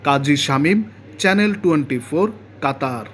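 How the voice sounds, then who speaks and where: A man reading a news report voice-over as a correspondent, in a steady, formal way. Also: fairly high pitch, a little fast.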